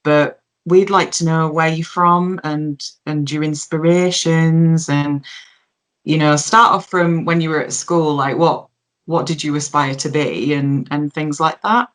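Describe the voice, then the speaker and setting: Low-voiced woman interviewer. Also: fairly even intonation, a little slow. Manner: rambling, hesitant questions. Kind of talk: interview questions.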